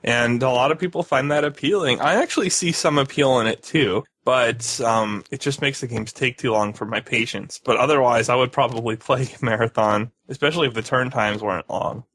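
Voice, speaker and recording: Man, co-host, bright tone, dry close sound, casual explaining. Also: medium pitch, a little fast, hardly any pauses, no echo. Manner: joking, explains at length. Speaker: podcast co-host. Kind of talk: casual podcast chat.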